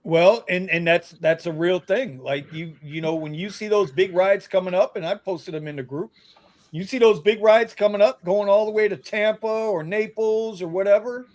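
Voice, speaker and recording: Male co-host, high voice, teasing, blunt, casual. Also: normal speed, a little mellow. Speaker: rideshare podcast co-host. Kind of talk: casual live-stream chat.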